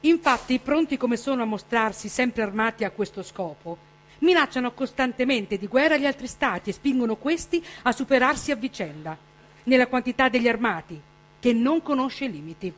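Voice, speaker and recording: A woman's voice: a little high, lively intonation, moderate pace. A woman declaiming a written text as a stage reader.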